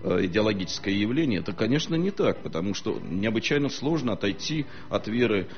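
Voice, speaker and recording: A man speaking as a historian guest on a studio talk show, lecturing in a measured way with frequent hesitations. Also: fairly low pitch, sing-song, lo-fi sound.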